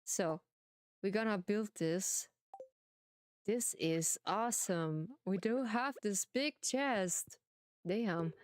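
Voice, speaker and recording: A woman streamer with a German accent, playfully chatting to viewers in simple, halting English while she plays a farming game. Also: medium pitch, no room sound, clean studio sound.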